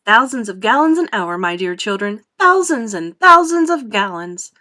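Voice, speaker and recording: A woman's voice: medium pitch, big pitch swings, moderate pace, pushed and bright. A woman voicing an exuberant, boastful, eccentric male factory owner in a storybook, with theatrical, gushing emphasis. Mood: excited, boastful.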